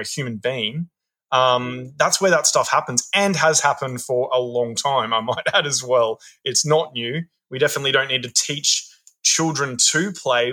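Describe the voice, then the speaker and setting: A man's voice: medium pitch, sharp tone. A man working as a play worker, answering interview questions in an earnest, thoughtful way, often repeating words as he thinks.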